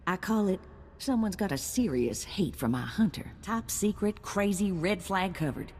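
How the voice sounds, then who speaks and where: A woman's voice: mid-range voice, expressive, normal speed, darkish tone, long reverb tail. A woman playing a spirited bounty handler in a video game, with a folksy, colloquial way of talking. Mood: wry, businesslike.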